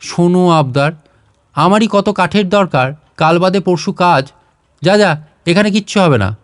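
A man's voice: high-pitched, lively intonation, measured pace, no echo, clean studio sound. A man voicing an old, annoyed, dismissive householder in a storybook reading, with a brusque manner.

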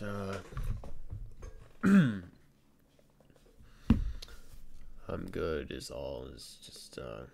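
A man playing a shy young best friend in an ASMR boyfriend roleplay, speaking softly and haltingly with stammers, in a very deep, gravelly voice. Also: slight background noise, heavily acted. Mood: flustered, shy. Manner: hesitant, halting, stammering, soft-spoken.

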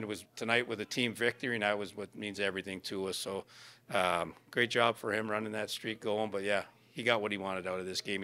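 A man's voice: on the low side, fairly bright tone. An older man, a plain-spoken, folksy hockey coach giving post-game comments. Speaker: ice hockey head coach. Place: indoor media backdrop at a rink. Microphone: microphone on a stand in front.

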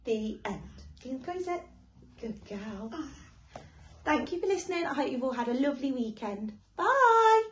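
A young woman reads a storybook to small children. Her voice is fairly high and very animated, with the roomy echo of a living room. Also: measured pace, slight background noise. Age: young adult. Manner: expressive, child-directed. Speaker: primary school teacher reading a storybook. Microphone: camera a short distance away.

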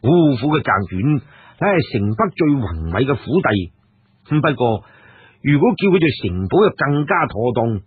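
A man's voice: mid-range voice, very animated, normal speed, slightly rough, dry recording. A man narrating a story in Hong Kong Cantonese, with colloquial asides, like a storyteller talking to listeners. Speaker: audiobook storyteller. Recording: dry, narrow phone-like radio sound.

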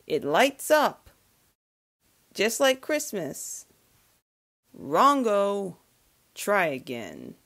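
A woman voicing a brainy young male inventor in a cartoon demo, talking like a pedantic know-it-all. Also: medium pitch. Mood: eager.

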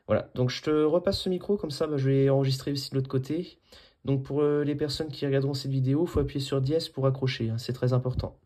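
A man's voice: mid-range voice, steady tone, fast, mellow. A man chatting casually as an amateur radio operator while he demonstrates his setup. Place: small indoor room at a desk.

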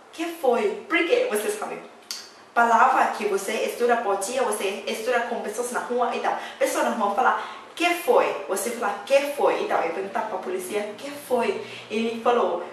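Chatty young woman with a Thai accent. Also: medium pitch. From Thailand. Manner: chatty, laughing often, broken Portuguese. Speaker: vlogger. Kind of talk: casual storytelling to camera. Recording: echoey room, voice a bit distant, faint hiss.

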